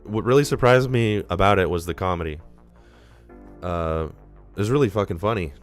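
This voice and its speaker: A very deep-voiced man rambles casually through a live movie discussion, sick and a bit nasal. His voice comes through smooth and clear over faint background music. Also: normal speed. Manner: rambling, casual, swears freely. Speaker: movie review host. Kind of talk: casual live-stream commentary.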